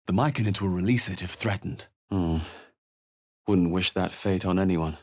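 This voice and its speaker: An actor playing a wry, watchful male fantasy adventurer in a video game, with a husky, dry, understated delivery. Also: low voice, normal speed, darkish tone, lightly acted. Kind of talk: video game character voice lines, short reactions.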